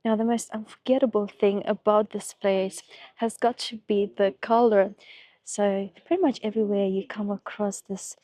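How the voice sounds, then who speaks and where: Mellow woman vlogger with a slight cold. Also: medium pitch, fairly even intonation, moderate pace, dry recording. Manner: chatty, explanatory, addresses viewers as guys. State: slight head cold, voice a bit off. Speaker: travel vlogger, host. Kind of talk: casual travel vlog narration to camera.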